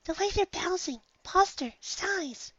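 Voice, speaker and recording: A timid little pegasus mare, her voice pitched high and breathy, hushed almost to nothing. She sounds shy and gentle. It is recorded close and dry, with a dull, lo-fi edge. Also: lively intonation, takes it slowly, no room sound, full character voice. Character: timid soft-spoken female pegasus pony.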